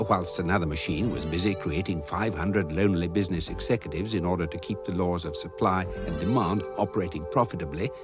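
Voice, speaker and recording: An actor playing a wry, measured guidebook narrator, delivering scripted comic radio narration deliberately and drily. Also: male voice, low voice. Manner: deadpan, droll, deliberate. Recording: music behind the narration.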